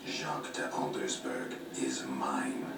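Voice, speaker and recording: An actor playing a gruff, stoic monster hunter in a video game, terse, deadpan and defiant. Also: male voice, rumbling low, slow, in a whisper, recorded from a distance, over loud music, heavily acted. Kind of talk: video game cutscene acting. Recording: echoey game audio with noisy background.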